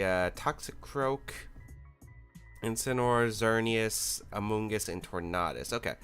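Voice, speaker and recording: A man hosting his own gaming channel who talks through his choices out loud in a relaxed, analytical way. He is a little sick, so his voice sounds a bit off. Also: on the low side. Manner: thinks aloud, analytical, relaxed with fillers. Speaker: YouTube competitive gaming host. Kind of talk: casual gameplay commentary.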